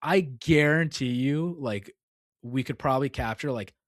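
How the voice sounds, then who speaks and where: A man's voice: medium pitch, a little slow, dry recording, studio quality. A young man co-hosting a casual gaming podcast, rambling and filling his talk with "like" and "dude.". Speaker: podcast co-host.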